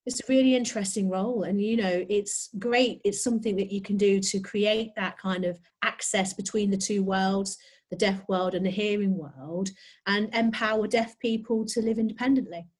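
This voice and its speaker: A middle-aged woman speaking as a guest on a podcast. She talks in a chatty, open way at an even middle pitch. She sounds like a sign language interpreter recording from home. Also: normal speed. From United Kingdom. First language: British Sign Language. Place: home, lockdown remote call.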